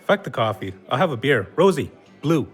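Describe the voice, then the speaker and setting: An actor playing a rude, thirsty barfly in an audio drama, crude and demanding. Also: male voice, mid-range voice, sing-song, moderate pace, breathy edge, faint music behind.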